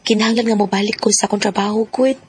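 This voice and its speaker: A woman's voice: medium pitch, steady tone, very fast, husky. An actress playing a sincere, plain-spoken young woman in a Bisaya radio drama, with a Cebuano accent. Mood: gentle.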